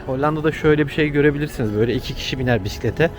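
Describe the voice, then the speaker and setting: A man's voice: on the high side, racing. Man vlogging on a noisy street. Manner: explanatory, conversational. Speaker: travel vlogger. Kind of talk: casual on-location chat with companion. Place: outdoors on city streets. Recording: noisy outdoor city street, traffic.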